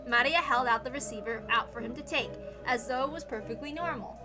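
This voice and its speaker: A woman's voice: a little high, fairly quick, music in the background. A woman reading a visual novel aloud as a dramatic narrator. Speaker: narrator, story reader.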